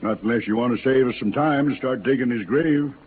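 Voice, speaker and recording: An actor playing a hard, vengeful sheriff in a 1950s Western radio drama, gruff and scornful. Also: male voice, medium pitch, brisk, lightly acted. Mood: hard, defiant. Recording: scratchy, noisy, narrow old radio recording.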